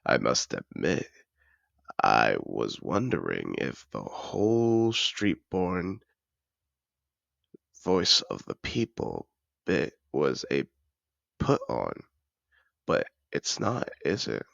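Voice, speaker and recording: Fantasy audio roleplay: male actor as a wealthy, seductive dragon in human guise; extremely low, slow, cultured and effusive. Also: huge pitch swings, vocal fry, a bit bright, no room sound, highs cut off, exaggerated voice. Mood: excited, urging. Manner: refined, effusive, theatrical phrasing. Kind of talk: scripted audio roleplay addressed to the listener.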